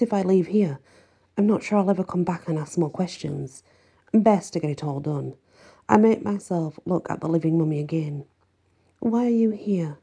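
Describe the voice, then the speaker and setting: A woman reading first-person fiction aloud as an expressive audiobook narrator. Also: fairly low pitch, creaky, slightly rough.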